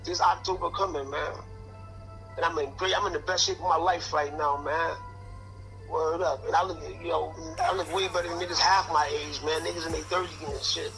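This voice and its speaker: A man's voice: on the high side, steady tone, fairly quick, creaky, music in the background, poor audio. A man in his late fifties telling prison stories in a casual, streetwise way, ending almost every phrase with "man" or "son". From Connecticut. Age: 50s. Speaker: interview guest.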